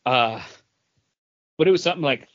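A man's voice: mid-range voice, animated, normal speed, a bit bright. A man co-hosting a podcast, talking in a rambling, enthusiastic fan's way in casual review chat. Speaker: podcast co-host. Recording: dull, lo-fi podcast audio.

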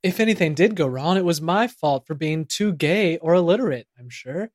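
A man's voice: a little high, animated, moderate pace, no echo, studio quality. A man narrating a scripted comedic audio drama in a theatrical manner. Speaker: narrator and performer.

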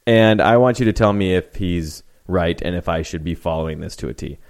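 A man's voice: low-pitched, brisk, a little mellow, smooth. A man co-hosting a casual sports podcast, joking and rambling with a self-mocking streak. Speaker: podcast co-host.

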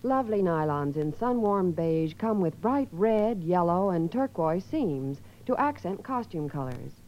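A woman's voice: on the low side, lively intonation, unhurried, slight background noise. A woman reading a scripted 1950s radio advertisement as a commercial announcer. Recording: muffled vintage radio broadcast.

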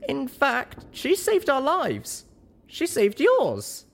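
A young female pony from a fantasy audiobook, acted out by a man, speaks in a very high, squeaky voice. She is earnest and easily flustered, with questions that swing widely in pitch. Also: huge pitch swings, measured pace, creaky, heavily acted. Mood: insistent, earnest.

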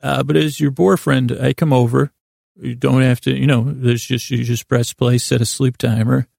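A man with a heavily creaky, gravelly voice, recorded very dry and close. He hosts a rambling bedtime podcast, drifting from one tangent to the next in a mildly silly, self-deprecating way. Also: medium pitch, darkish tone, dry recording. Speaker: podcast host.

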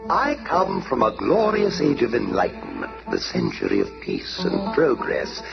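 A grandiose time-traveling tyrant who rules as a pharaoh, boasting in sweeping, showy swings of pitch. His voice is gravelly and a bit high. The old cartoon recording is dull, with music underneath. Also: huge pitch swings, normal speed, full character voice. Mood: boastful. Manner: pompous, boastful monologue. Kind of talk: 1960s cartoon voice acting.

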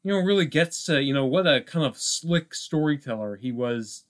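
Halting, conversational male podcast co-host. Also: on the high side, animated. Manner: halting, thinking aloud with repeated asides. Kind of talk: casual film discussion.

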